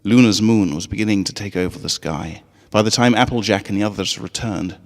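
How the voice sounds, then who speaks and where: A man narrating a scripted story in an expressive, dramatic way. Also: lowish voice, brisk, in a large hall. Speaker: fan fiction reader.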